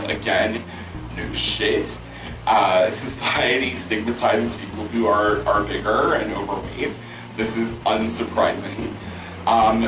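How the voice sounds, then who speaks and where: A man hosting a vlog, answering viewer questions in casual chat, hoarse with a worn-out voice and rambling with many fillers and restarts. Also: lowish voice. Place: outdoors near a pool with loud music. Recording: roomy, mic at a distance, loud music behind.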